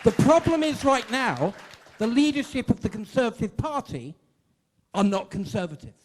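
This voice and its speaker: An older man speaks loudly from the audience of a large hall as a local party chairman. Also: extremely high pitch, big pitch swings, moderate pace, a faint crowd, a little hiss or hum. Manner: loud, declaiming voice.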